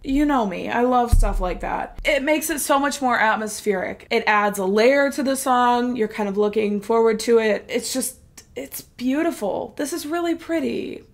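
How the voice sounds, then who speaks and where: A woman's voice: on the high side, normal speed. A woman with a stuffy nose from a cold, chatting enthusiastically as she reacts to a new song. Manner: gushing, enthusiastic, chatty with fillers. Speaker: music reaction vlogger. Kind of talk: casual chat.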